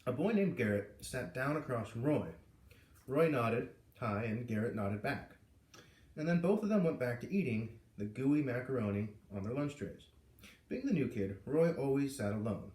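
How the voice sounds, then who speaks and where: A man reading a story aloud in an expressive, informal way, narrating like a storybook reader. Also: medium pitch. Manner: animated, informal asides. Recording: echoey room, far from the mic.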